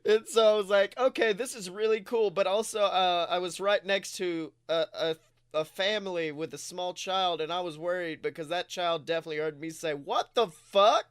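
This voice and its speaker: A man co-hosting a podcast, chatting casually about films in an animated, sweary storytelling way. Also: sits high, normal speed, clear, resonant tone, dry recording, studio quality. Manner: animated, profane storytelling with quoted reactions. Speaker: podcast co-host. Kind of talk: casual film discussion chat.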